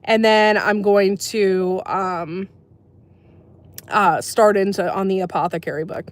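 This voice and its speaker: A chatty woman from America hosts a casual livestream, talking in an everyday middle-pitched voice. She keeps reading out and answering chat messages between her talk, and laughs often. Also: steady tone, slow. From USA. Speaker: livestream host.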